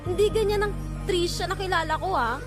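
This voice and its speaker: A woman's voice: very high-pitched, a little fast. A young woman playing a blunt, nosy but caring best friend, sassy and slangy, in an audio drama. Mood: incredulous, teasing. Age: young adult. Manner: sassy, slangy girl talk. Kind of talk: casual conversational audio drama acting. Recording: loud music under the voice.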